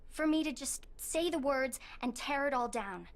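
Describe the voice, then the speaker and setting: Game role: eager, nervous schoolgirl with dangerous magic, high-pitched, informal and earnest. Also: lively intonation, normal speed. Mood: earnest, frustrated. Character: anxious, eager teenage schoolgirl with frightening magic powers. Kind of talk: video game cutscene acting.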